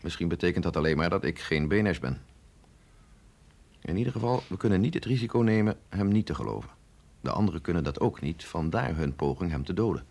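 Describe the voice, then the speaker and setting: An actor playing a sceptical, authoritative, middle-aged male scientist in a radio drama, explaining in a measured, insistent way. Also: sits low, animated, gravelly, darkish tone, full character voice. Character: sceptical, authoritative male scientist briefing an agent, no longer young. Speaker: scientist doctor.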